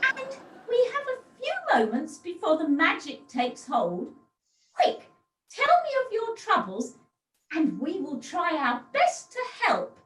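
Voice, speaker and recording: A woman's voice: very high voice, wildly swooping pitch, slow pace, a little hiss or hum, full character voice. A woman playing a grand, patronising pantomime fairy, with theatrical delivery, on an echoey, distant home video-call recording. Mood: helpful, grand. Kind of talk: amateur pantomime acting.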